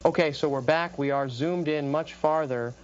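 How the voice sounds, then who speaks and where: A man playing a local TV meteorologist, giving forecasts and warnings in a steady, measured way with small hesitations. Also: fairly high pitch, clean tone, lo-fi sound, natural voice. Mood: concerned. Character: calm male TV meteorologist on a local station. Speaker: weather presenter. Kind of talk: amateur broadcast role-play.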